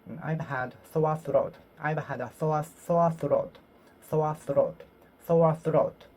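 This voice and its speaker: Slow, halting English learner. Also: medium pitch, fairly even intonation, a little mellow, poor audio. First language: Japanese. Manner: repeats phrases, halting and self-correcting. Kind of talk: solo speaking practice, talking to oneself.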